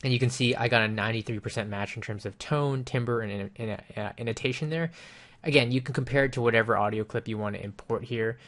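A man with a creaky voice explaining software casually and informally, as a reviewer does in a screen-recorded walkthrough. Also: mid-range voice, fast talker.

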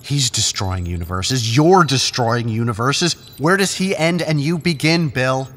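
An actor playing an anguished, defiant brother and reluctant accomplice in an audio drama, pleading and emphatic. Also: male voice, medium pitch, expressive, a little slow, a bit bright. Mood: pleading accusing. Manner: pleading, emphatic, stammering when upset. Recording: long cavernous reverb.

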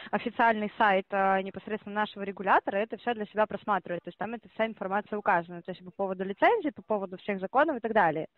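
A woman's voice: medium pitch, rapid-fire, hardly any pauses, no room sound. A woman working as a call-center operator on a phone call, explaining at length and insisting on her point. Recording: muffled phone line.

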